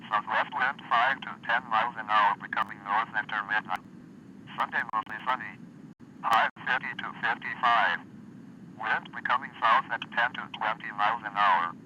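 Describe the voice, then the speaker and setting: Synthetic male voice, weather radio announcer, clipped even delivery, lowish and very bright, dull lo-fi radio sound. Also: normal speed. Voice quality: synthesized computer voice. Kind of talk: automated weather broadcast reading. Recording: dull lo-fi radio recording with faint hiss.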